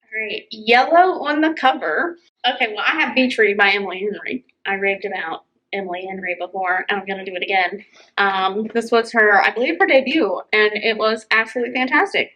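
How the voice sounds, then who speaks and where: A woman's voice: mid-range voice, a little fast, pushed and bright, lo-fi sound. A woman with a mid-range voice speaks as the host of a casual recommendation show. She is informal and enthusiastic, talking as if in an easy conversation. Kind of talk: casual book recommendation chat.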